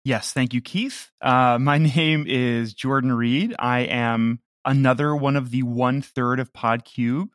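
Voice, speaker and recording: A man's voice: mid-range voice, slow pace, few pauses, no room sound, studio quality. A self-deprecating man who makes podcasts, chatting casually in an interview from home. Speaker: podcast creator and editor.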